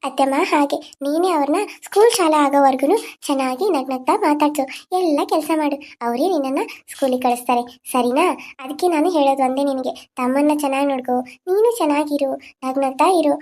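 A woman voicing the gentle ghost of a young mother, who soothes and coaxes softly. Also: sits high, brisk, natural voice. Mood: tender coaxing. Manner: soothing, tender, coaxing.

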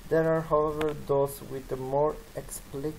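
Gravelly non-native English presenter. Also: medium pitch, level tone, darkish tone, a little hiss or hum, rough recording. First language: not English. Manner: halting, non-native phrasing. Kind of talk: slide presentation, read from text with asides.

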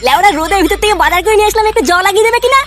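A performer voicing a rebellious, put-upon boy in a comedy cartoon, squeaky and endlessly griping with constant swearing. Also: racing, very noisy. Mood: angry.

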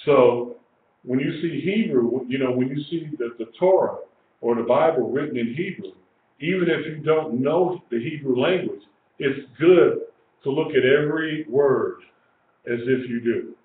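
A man's voice: mid-range voice, unhurried. An older man in his sixties teaches scripture informally to a small group. He is emphatic and restates his phrases. The sound is thin and narrow, with room echo and faint noise behind him. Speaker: religious teacher. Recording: echoey, tinny lo-fi webcam stream with hiss.

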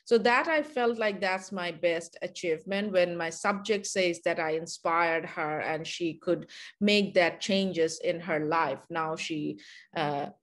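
Bangladeshi-accented woman filmmaker, lowish voice, halting with fillers, video-call guest at home. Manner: halting, many filler sounds. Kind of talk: webinar interview answer.

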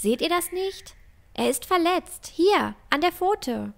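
A woman's voice: extremely high pitch, expressive, slow pace, gravelly, slight background noise, exaggerated voice. A woman playing a bold, bookish young girl explorer in a fantasy audio drama, earnest and matter-of-fact.

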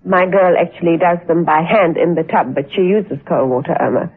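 A woman with a husky voice giving a testimonial in a radio advertisement. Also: fairly low pitch, brisk. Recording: narrow lo-fi radio recording.

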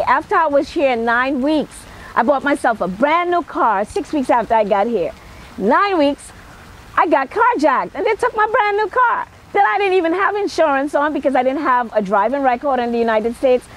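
A woman's voice: high-pitched, lively intonation, moderate pace, a little hiss or hum, poor audio. A middle-aged woman with a Trinidadian accent giving an emphatic, motivational personal talk to camera outdoors. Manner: emphatic, punctuated with "okay". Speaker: celebrity hairstylist and makeup artist. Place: outdoor patio at night.

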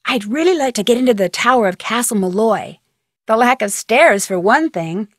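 An actress playing an inquisitive, friendly young American amateur detective in a video game, speaking clearly in an earnest, conversational way. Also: female voice, on the high side, lively intonation, normal speed, no echo, studio quality. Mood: puzzled, confiding. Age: young adult. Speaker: player character of a detective game. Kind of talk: scripted video game dialogue.

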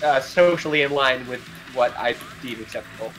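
A man's voice: mid-range voice, moderate pace, fairly bright tone, poor audio. A young man chatting casually and frankly as co-host of a show about a game's story. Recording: music behind the voice. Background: video game music.

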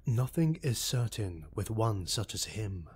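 A man voicing a bleak, guarded male elf retainer with a grave, measured manner in an audiobook. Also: fairly low pitch, sing-song, darkish tone, slight background noise.

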